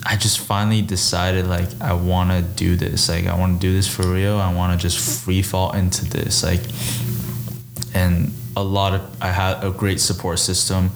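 A man's voice: low-pitched, flat delivery, normal speed, over music. A young man from Taiwan whose first language is Mandarin, talking casually and reflectively as a photographer guest, with lots of "like". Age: young adult. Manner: reflective, fills speech with "like". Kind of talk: casual podcast conversation. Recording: noisy room ambience.

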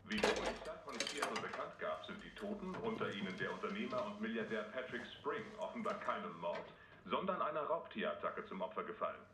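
Man acting grave low-pitched newsreader, formal scripted delivery, impassive, drama news bulletin, roomy echo, noisy background. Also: animated, fairly quick, natural voice. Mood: neutral, grave.

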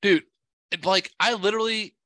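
A sick man with a blocked nose hosts a casual podcast, cursing freely and wandering from point to point. His voice is bright and pushed forward. The recording is dry and close, with no room sound. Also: sits high, normal speed, no room sound. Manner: crude, profane, rambling. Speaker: podcast host.

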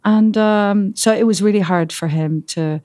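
A middle-aged Dutch woman with a Dutch accent, speaking English as a second language as a lively podcast guest in an office, sometimes searching for words. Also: medium pitch, measured pace. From Netherlands. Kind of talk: casual interview conversation.

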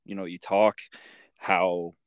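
A man's voice: sits low, level tone, slow pace, clear, resonant tone, dry recording, muffled recording. A man hosting a podcast, chatting casually in an interview. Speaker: podcast host and comic maker.

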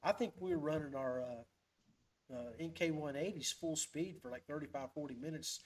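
A man's voice: on the high side, moderate pace. A man hosting a podcast, chatting casually and telling stories in a folksy, rambling way. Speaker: podcast host. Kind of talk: casual fishing podcast chat.

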